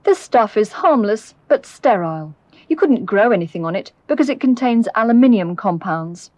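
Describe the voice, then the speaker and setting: A British woman reading scripted narration for an educational film, explaining in a clear, measured way. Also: mid-range voice, expressive, a little mellow, no room sound, muffled recording. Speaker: documentary narrator. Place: close, dry voice-over recording.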